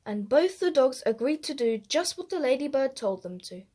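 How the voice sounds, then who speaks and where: A woman storyteller reading a rhyming children's story aloud, expressive, with deliberate emphasis. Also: high voice.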